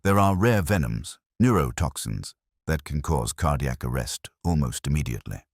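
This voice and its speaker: A man's voice: a bass voice, expressive, moderate pace, slightly rough, no echo, clean studio sound, heavily acted. A man voicing an earnest, observant detective's companion who reports in short sentences in an audiobook. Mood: earnest, shaken. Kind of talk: audiobook character dialogue.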